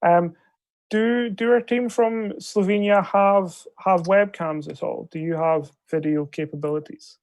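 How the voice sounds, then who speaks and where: Man, gravelly voice, polite hesitant briefing, dry video call audio. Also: high voice, fairly even intonation, a little slow, a little mellow, no echo. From Scotland. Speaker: debate adjudicator, chair. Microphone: video call microphone.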